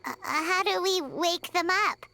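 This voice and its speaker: A woman's voice: very high-pitched, measured pace, sharp tone, full character voice. A woman voicing a small, childlike, curious game companion who questions things with exasperated disbelief. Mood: incredulous. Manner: incredulous questioning. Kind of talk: video game voice acting.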